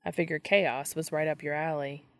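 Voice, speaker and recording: A woman's voice: low voice, steady tone, normal speed, no room sound. A woman voicing a wary, wry man with a dry, sardonic manner in an audiobook. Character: wary, wry male guest with dry wit.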